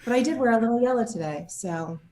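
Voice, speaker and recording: A woman chatting casually as an interview guest, telling anecdotes in an animated, jokey way, with laughter close at hand. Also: on the high side, fast talker, roomy sound. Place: at home, indoor room.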